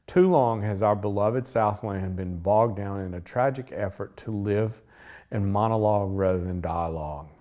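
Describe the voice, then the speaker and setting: A man's voice: lowish voice, moderate pace, warm tone. A man reading a historic letter aloud as scripted text.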